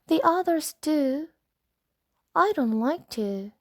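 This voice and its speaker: Lady doing a sweet dainty storybook dragon, high voice, super proper and unhurried. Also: expressive, no echo, clean studio sound. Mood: reluctant, mild. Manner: sweet, genteel, mannered. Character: dainty, polite, gentle young dragon longing for princesshood. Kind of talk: storybook character voice.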